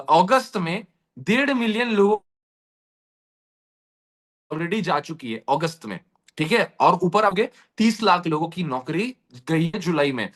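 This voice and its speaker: A man giving a motivational pitch directly to his online audience, repeating points for emphasis. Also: fairly bright tone. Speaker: presenter of an earning opportunity session.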